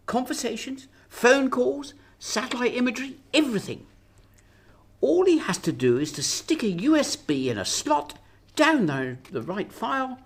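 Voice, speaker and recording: A woman acting in a radio drama as a sharp-tongued, anxious Prime Minister, interrogating with emphasis and sarcasm. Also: a little high, slightly rough, full character voice.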